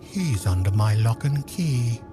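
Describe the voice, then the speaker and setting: An actor playing a grave, smug, scheming villain in an audio drama, measured and portentous in manner. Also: male voice, mid-range voice, expressive, over music, natural voice. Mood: cold, gloating. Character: scheming male manipulator, grave, smug, menacing.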